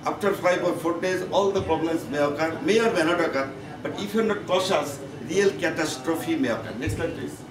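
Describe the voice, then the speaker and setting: A man with a Bangladeshi accent giving a medical conference lecture as a professor, in halting, repetitive, emphatic phrases. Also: on the high side, crowd noise. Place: conference meeting room. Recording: echoey, noisy room, distant microphone.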